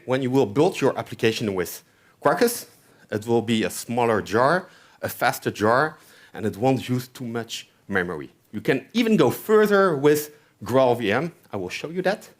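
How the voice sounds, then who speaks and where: A man with a French accent giving a chatty conference tech talk, often checking in with the audience with "okay?". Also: on the high side. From France. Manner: chatty, keeps asking "okay?". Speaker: conference speaker. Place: conference hall stage.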